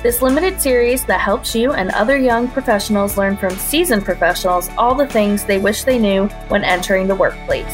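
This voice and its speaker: A woman's voice: medium pitch, brisk, hardly any pauses, soft background music. A young woman hosts a podcast, reading a scripted intro and then asking friendly, upbeat interview questions. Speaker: podcast host.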